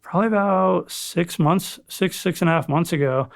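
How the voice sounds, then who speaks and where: A young man from Florida, a firefighter paramedic, chatting casually as a podcast guest in a relaxed, rambling way with casual swearing. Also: sits high, moderate pace, creaky. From Florida, USA. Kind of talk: casual chat.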